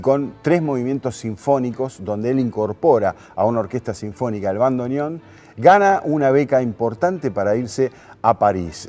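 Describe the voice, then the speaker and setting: A man's voice: medium pitch, darkish tone. A man with an Argentine Spanish accent hosts a music programme, explaining things and sometimes searching for his words. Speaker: radio host and music programmer.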